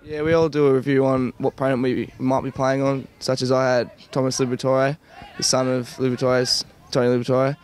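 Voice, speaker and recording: A young man with an Australian accent, an athlete giving plain, halting answers in a casual interview. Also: medium pitch, level tone, darkish tone. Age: young adult. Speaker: junior Australian rules footballer.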